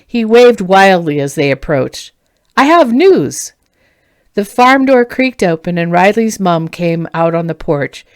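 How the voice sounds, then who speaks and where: Woman reading a fantasy story, lowish voice. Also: lively intonation, unhurried, clear, resonant tone, no room sound, studio quality. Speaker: author reading own novel. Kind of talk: expressive audiobook-style fantasy narration.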